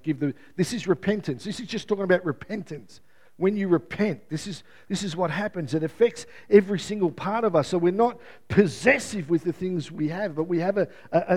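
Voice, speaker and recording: A male preacher with a high-pitched voice that is wearing thin and straining. He talks to the congregation in an earnest, conversational way, often restarting phrases and chuckling lightly between points. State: losing his voice. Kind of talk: sermon.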